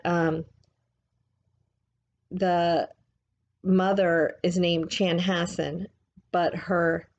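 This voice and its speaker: A woman reading a book aloud like a teacher, in a gentle, measured, explanatory way. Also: lowish voice, dull audio, slight background noise.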